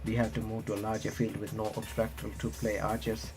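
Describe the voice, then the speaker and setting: A man's voice: on the low side, completely flat delivery, over music. A man reading visual novel text aloud as a playthrough host, with casual asides, often stumbling and restarting his sentences. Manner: halting, stumbles over words and restarts.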